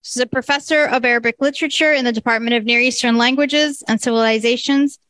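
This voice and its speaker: A woman hosting a festival, giving a deliberate, declarative opening address. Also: fairly high pitch, fairly even intonation, fairly bright tone. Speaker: festival organizer and host.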